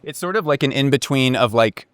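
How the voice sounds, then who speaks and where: A man's voice: medium pitch, lively intonation, fairly quick, fairly bright tone, clean tone, no room sound. A man hosting a casual interview, conversational and encouraging with the people he questions. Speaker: interviewer and host.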